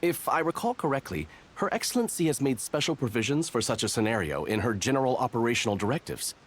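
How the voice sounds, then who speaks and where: An actor voicing a dutiful, by-the-book soldier in a video game, speaking in a formal and precise way. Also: male voice, medium pitch, big pitch swings, fast, breathy edge.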